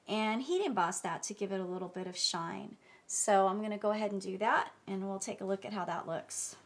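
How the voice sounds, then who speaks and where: A woman's voice: a little high, fairly bright tone. Chatty woman with a stuffy head cold. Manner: chatty, self-correcting, clears throat often. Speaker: card-making vlogger. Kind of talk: casual crafting tutorial.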